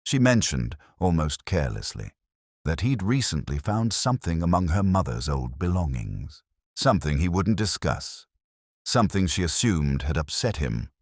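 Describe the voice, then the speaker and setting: A man playing a brooding, erudite middle-aged police inspector, narrating a detective audio drama in a measured, literary, ruminative way. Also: sits low, sing-song, no room sound, clean studio sound, full character voice. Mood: pensive.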